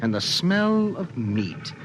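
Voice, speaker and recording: An actor narrating an ominous science fiction radio drama in an intense, deliberate manner. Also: male voice, mid-range voice, sing-song, noisy background. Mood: intense, vivid. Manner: intense, deliberate, incantatory repetition. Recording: vintage radio drama over music. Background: music.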